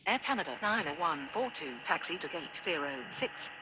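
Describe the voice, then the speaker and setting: A woman's voice: on the low side, lively intonation, moderate pace. A woman speaking scripted, formulaic air traffic radio phraseology. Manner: formulaic callouts.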